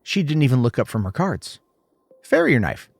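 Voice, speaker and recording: A man's voice: medium pitch, big pitch swings, a little fast, a little mellow, breathy edge, dry recording. A man narrating a scripted first-person horror story in short, suspenseful, dramatic phrases.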